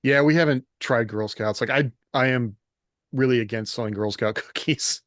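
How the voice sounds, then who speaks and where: A man's voice: medium pitch, normal speed, fairly bright tone, dry recording, clean studio sound. A middle-aged American man chatting casually as a podcast co-host, candid and self-deprecating. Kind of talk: casual chat.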